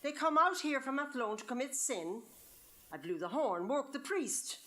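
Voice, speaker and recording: A woman's voice: sits high, animated, normal speed, sharp tone, pitched above the performer's own voice. A woman with an Irish accent voicing a strict, pious, indignant father in a story reading. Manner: indignant, emphatic. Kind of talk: live storybook-style reading.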